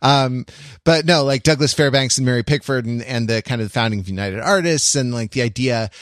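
A man co-hosting a casual podcast talks through an idea out loud, stopping and restarting his sentences as he goes. His voice sits at an ordinary middle pitch and is recorded close up in a dry, dead room. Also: no echo. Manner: thoughtful, halting explanation with many restarts. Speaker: podcast co-host. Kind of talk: casual chat.

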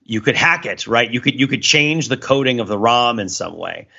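A man's voice: medium pitch, moderate pace, creaky, pushed and bright, breathy edge, highs cut off. A man co-hosting a podcast, explaining things in a casual, discursive way and often checking in as he goes. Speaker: podcast co-host.

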